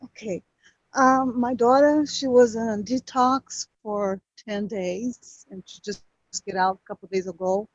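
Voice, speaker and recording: A woman with a Brazilian Portuguese accent, Portuguese her first language, calling in and speaking halting, emotional English with many pauses. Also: on the high side, fairly even intonation, dry recording. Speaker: caller. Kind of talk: call-in conversation. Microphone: video call. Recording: lo-fi video call audio.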